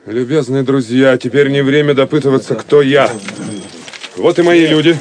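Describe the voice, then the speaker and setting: An actor playing a bold, decisive outlaw archer chief in an audio drama, giving clipped, authoritative orders. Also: male voice, medium pitch, normal speed, a little mellow, slight background noise, close to an everyday voice. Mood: resolute.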